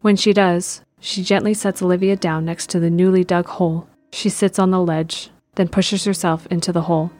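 A woman with a slightly low voice narrates a scripted drama. Her delivery is measured and descriptive, with faint music playing beneath. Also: steady tone, a little mellow.

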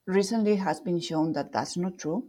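A woman with a Spanish accent explains her research in a fairly low voice. She talks through ideas thoughtfully, stopping to rephrase and filling pauses as she goes. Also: warm tone, husky. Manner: thoughtful, with fillers and self-corrections. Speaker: guest researcher. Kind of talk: explanatory interview talk.

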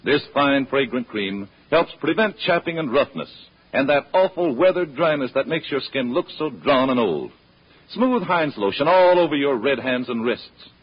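A man's voice: mid-range voice, animated, moderate pace. An American man reading an old-time radio advertisement as announcer, persuasive and carefully enunciated. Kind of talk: 1930s-40s radio advertisement read. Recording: muffled, husky-sounding old radio recording.

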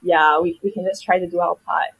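A woman's voice: lowish voice, steady tone, fairly quick, creaky, slightly rough, dull audio, faint music behind. A young woman with a Singaporean accent, a guest chatting casually and filling her speech with "like.". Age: young adult. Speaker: guest, editorial director. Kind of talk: casual chat.

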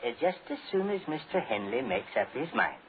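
A man's voice: mid-range voice, big pitch swings, fairly quick, a crowd in the background, close to an everyday voice. A man playing an eager, polite old salesman with folksy, rambling talk in a 1940s radio drama. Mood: eager, flustered. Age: older. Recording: scratchy, tinny 1940s radio recording.

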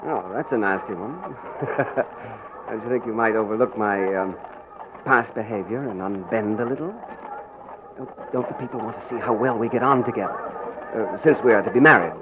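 An actor as a jovial English gentleman. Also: lowish voice, moderate pace, natural voice. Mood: flirting. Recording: tinny old radio broadcast with faint hiss.

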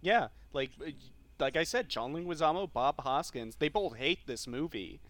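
A man's voice: fairly high pitch, lively intonation, moderate pace, fairly bright tone, dry recording. Casual man, very dry close sound. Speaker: podcast co-host. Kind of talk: casual movie discussion.

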